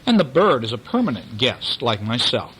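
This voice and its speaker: A man's voice: mid-range voice, big pitch swings, normal speed, heavy background noise, lightly acted. A man voicing a nervous, grudgingly restrained male house cat, fussy and self-justifying, in a storybook reading. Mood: defensive.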